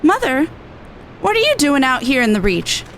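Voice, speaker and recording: A woman's voice: high voice, wildly swooping pitch, normal speed, a bit bright, slight background noise, exaggerated voice. A woman voicing an earnest, dutiful young tribeswoman and resistance veteran in video game dialogue. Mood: earnest, resolute.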